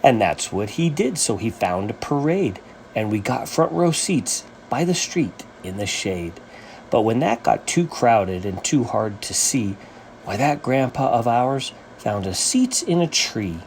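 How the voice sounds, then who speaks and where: A man reading a rhyming children's story as its author, with an animated, sing-song rhythmic delivery. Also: medium pitch, unhurried, slight background noise, poor audio.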